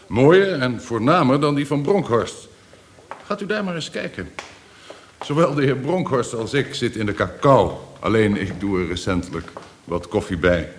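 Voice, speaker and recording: Man playing a pompous rich gentleman, lively swooping pitch. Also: normal speed, a little hiss or hum, close to an everyday voice. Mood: boastful, eager. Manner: pompous, self-important. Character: wealthy boastful gentleman showing off his country estate. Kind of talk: Dutch radio play acting.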